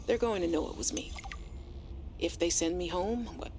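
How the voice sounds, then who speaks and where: A woman playing an earnest, anxious but quietly defiant scientist in a video game audio log, hesitant and confiding. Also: on the low side, moderate pace, slight background noise, natural voice. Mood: nervous, resolute.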